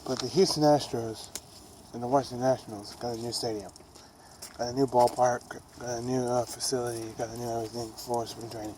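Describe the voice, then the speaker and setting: A man's voice: mid-range voice, noisy background. Nasal young man vlogging outdoors. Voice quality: nasal, congested. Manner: rambling, with restarts and fillers. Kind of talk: casual rambling baseball vlog. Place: outdoors near buildings. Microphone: phone camera held low.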